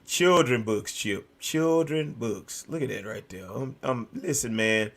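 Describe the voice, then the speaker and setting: An American man hosting a talk show, speaking in an animated, preacher-like conversational way, emphatic and often repeating phrases for effect. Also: medium pitch, unhurried. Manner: emphatic, repetitive. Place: virtual video show.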